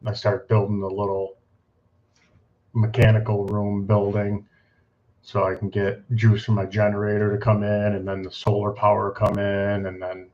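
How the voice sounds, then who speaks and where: A man's voice: on the low side, monotone, moderate pace, a little mellow. A man co-hosting a live stream, chatting casually and joking. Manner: joking, easygoing. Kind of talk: casual chat. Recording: dull lo-fi stream audio.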